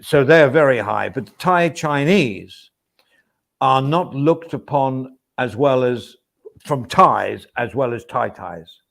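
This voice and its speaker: Gravelly man rambling on a stream. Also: mid-range voice, animated, slow, fairly bright tone. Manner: rambling, chatty, reads out viewer comments. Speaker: stream host. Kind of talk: casual chat.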